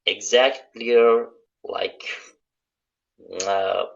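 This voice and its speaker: A man who speaks English as a second language, talking in hesitant, halting sentences as a guest on a casual live chat. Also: low-pitched, creaky, fairly bright tone, lo-fi sound. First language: not English. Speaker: live-stream guest, hotel receptionist.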